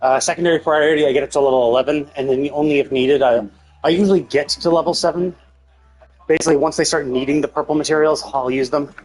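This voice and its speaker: Husky man rambling on a game stream. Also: on the high side, fairly even intonation, quick pace, a little mellow, poor audio. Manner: rambling, thinking aloud. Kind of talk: casual livestream gameplay chat. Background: music.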